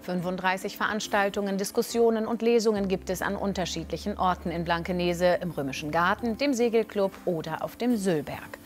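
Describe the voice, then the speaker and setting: A woman's voice: medium pitch, a little fast, hardly any pauses. Female reporter narrating over faint music. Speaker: news reporter.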